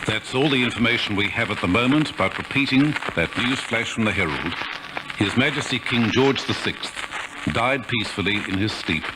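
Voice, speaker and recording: A man's voice: on the low side, expressive, moderate pace, very noisy. A formal, solemn man reading a 1950s radio news flash on an archival recording. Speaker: radio newsreader.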